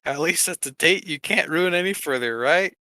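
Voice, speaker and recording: A man talks in a bright, crisp voice with a very dry, close sound. He chats casually like a podcast co-host, sometimes halting as he finds his words. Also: on the high side, dry recording. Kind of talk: casual podcast chat about games.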